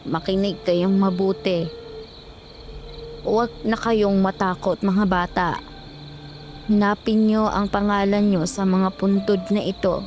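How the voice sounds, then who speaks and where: A solemn old ghost grandmother, played by a woman in a horror audio drama. She speaks gravely and deliberately at a middling pitch, over music. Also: fairly even intonation, darkish tone, close to an everyday voice. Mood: grave, urgent.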